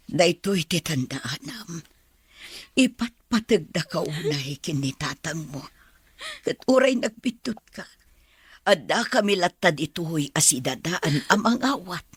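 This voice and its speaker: Husky, worried Filipino mother. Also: fairly low pitch, full character voice. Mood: tender, reassuring. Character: middle-aged mother, worried, devoted, forgiving. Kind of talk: Filipino radio drama acting.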